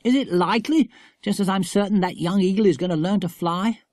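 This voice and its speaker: A man's voice: high-pitched, brisk, darkish tone, no room sound, pitched above the performer's own voice, natural voice. A man with an English accent voicing a keen, plucky, boyish schoolboy adventurer in a storybook. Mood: eager. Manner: eager, boyish.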